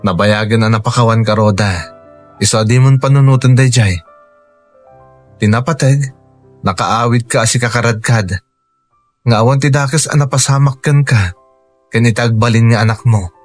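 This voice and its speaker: An actor with a Filipino Ilocano accent playing a forgiving, soft-spoken man in a radio drama. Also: mid-range voice, normal speed, long pauses, faint music behind, natural voice. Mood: gentle, reassuring.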